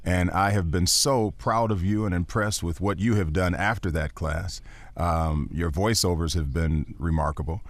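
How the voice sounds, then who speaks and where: A man with a baritone voice, a voiceover actor and teacher, talking as a podcast guest in an earnest, encouraging, emphatic way. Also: moderate pace, dry recording.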